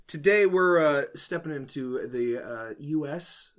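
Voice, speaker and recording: A man's voice: on the high side, a little slow. A man co-hosting a podcast in a casual chat about folklore, talking in a jokey, colloquial way. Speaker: podcast co-host.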